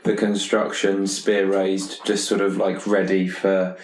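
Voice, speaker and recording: Male tabletop player, low register, casual improvised delivery that feels its way along, echoing room. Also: monotone, unhurried. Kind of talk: casual in-character improvised play. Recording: roomy, echoing group recording.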